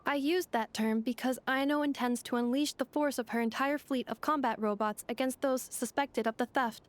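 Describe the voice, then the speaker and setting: A woman voicing a calm, logical android helper woman who speaks in clipped, formal, machine-like reports in a video game. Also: high-pitched, normal speed, a little hiss or hum, lightly acted. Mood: steady.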